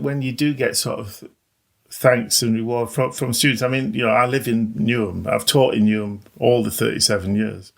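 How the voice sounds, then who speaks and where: A man, a veteran teacher reflecting informally in a halting, modest way. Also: medium pitch, creaky. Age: 60s. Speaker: veteran maths teacher, podcast guest. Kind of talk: reflective, informal interview talk.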